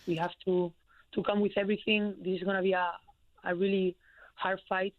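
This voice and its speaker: A woman's voice: mid-range voice, little pitch movement, a little fast, dry recording. A young woman from Spain with a Spanish accent, answering interview questions as a college basketball player, hesitant with many fillers. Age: young adult. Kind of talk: phone-in radio interview. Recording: tinny phone line.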